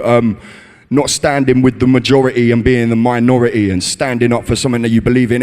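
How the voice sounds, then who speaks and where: A man with a bassy voice, an MC giving a workshop, talking in a relaxed way and tagging lines with "you know". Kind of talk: casual talk to an audience. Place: conference hall. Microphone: handheld stage microphone. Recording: reverberant hall.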